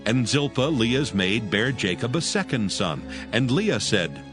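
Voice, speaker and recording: A man narrating scripture in a measured, solemn way. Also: medium pitch, sing-song. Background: background music.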